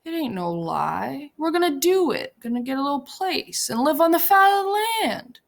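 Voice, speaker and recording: A woman's voice: sits high, big pitch swings, moderate pace, vocal fry, pitched above the performer's own voice, full character voice. A woman voicing a big, simple-minded, childlike male ranch hand who talks in an eager, pleading, repetitive way.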